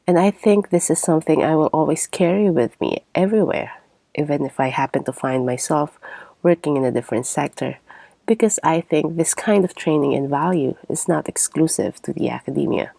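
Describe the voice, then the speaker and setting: A woman in her thirties hosting a podcast, delivering a reflective scripted monologue in a measured, articulate way. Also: sits low, vocal fry, dark tone, no room sound. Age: 30s. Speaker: podcast host.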